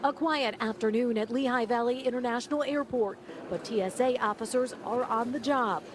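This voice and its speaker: An American woman delivering a live TV news report in a measured, clearly enunciated way. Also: fairly high pitch, background noise. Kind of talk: live broadcast news report, scripted narration.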